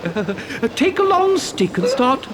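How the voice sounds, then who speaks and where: A high-pitched, gleeful and eccentric factory owner, stammering and chuckling as he shows off. His delivery is theatrical and swings wildly in pitch, in a children's audio play. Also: very animated, slow pace, full character voice. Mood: flustered, amused. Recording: noisy old cassette recording.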